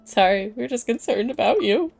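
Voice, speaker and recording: A woman's voice: on the high side, lively intonation, moderate pace, gravelly, darkish tone, faint music behind. A woman gaming streamer giving casual, playful reaction commentary in short, gushing exclamations. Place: voice-over on gameplay with game music behind.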